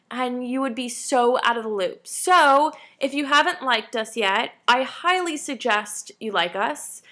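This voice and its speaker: A woman's voice: high voice, bright, forceful tone. A woman in her late twenties, a tired vlogger chatting casually and self-deprecatingly to camera. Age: 20s.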